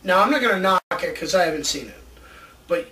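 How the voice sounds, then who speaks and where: A man with a high, bright voice talks casually and enthusiastically, like a devoted fan co-hosting a show. He is recorded at some distance from the mic, with faint noise behind him. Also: expressive, fast talker. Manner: enthusiastic, fannish. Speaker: co-host of a movie ideas show. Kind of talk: casual chat pitching ideas.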